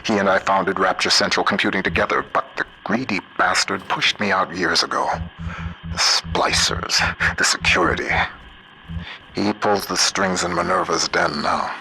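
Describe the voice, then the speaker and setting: An actor playing a steady, folksy older scientist, earnest and plain-spoken, guiding a game's hero. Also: male voice, deep voice, moderate pace, exaggerated voice. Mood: bitter. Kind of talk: video game character acting. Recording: noisy radio transmission.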